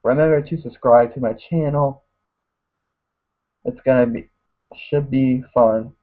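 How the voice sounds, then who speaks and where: Hoarse dude rambling thru a wrestling recap, says guys nonstop, tinny lo-fi audio. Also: male voice, medium pitch, unhurried. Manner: mocks wrestlers in put-on voices. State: voice getting hoarse. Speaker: wrestling show reviewer. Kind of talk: casual live review.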